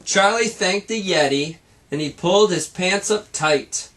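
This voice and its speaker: A man reads a children's story in a bright, forceful voice. He takes his time over the rhythmic verse and delivers it with drama and playful asides, like a teacher reading to a class. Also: sits high, measured pace, a little hiss or hum. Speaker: teacher reading aloud to students. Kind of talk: expressive picture-book read-aloud.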